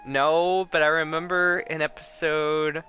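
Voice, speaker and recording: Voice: mid-range voice, flat delivery, a little slow, pushed and bright, lo-fi sound. A streamer with an androgynous voice chatting casually over live gameplay, rambling and easily amused. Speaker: game streamer. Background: game music.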